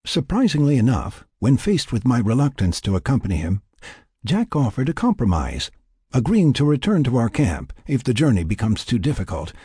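A man voicing a reflective, formal scientist keeping an expedition journal in an audiobook, in measured, wordy phrases. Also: medium pitch, very animated, dark tone, breathy edge, dry recording, studio quality, pitched above the performer's own voice. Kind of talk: first-person audiobook narration.

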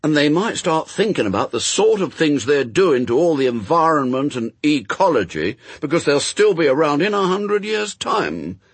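A man's voice: a little high, big pitch swings, normal speed, hardly any pauses, breathy edge, no room sound, voice pushed up, full character voice. A man voicing an earnest, stubbornly reasonable young boy in a story, arguing in plain, childlike run-on sentences. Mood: severe, reasoning.

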